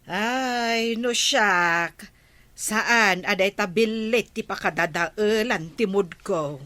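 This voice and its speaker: A woman's voice: medium pitch, slow, sharp tone, no echo. A woman playing an inquisitive, gossipy villager in a comedy drama, chatty and questioning. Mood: curious.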